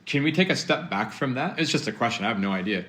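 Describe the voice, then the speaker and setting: A man's voice: medium pitch, expressive, fast, a bit bright. A man hosting a podcast conversation, asking his guest questions in rambling bursts. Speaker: podcast host. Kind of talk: conversational interview.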